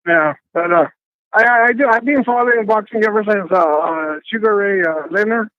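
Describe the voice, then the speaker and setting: A man in his fifties from Texas, calling in from his car while driving, speaking politely in halting sentences with many restarts. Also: sits high, no room sound. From Texas, USA. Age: 50s. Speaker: caller. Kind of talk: phone call-in. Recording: dull phone line.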